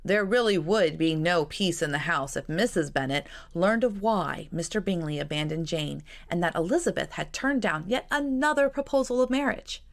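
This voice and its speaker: A woman reading a novel aloud as narrator, with an expressive, measured, dramatic storytelling delivery. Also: on the low side. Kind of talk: expressive scripted audiobook narration.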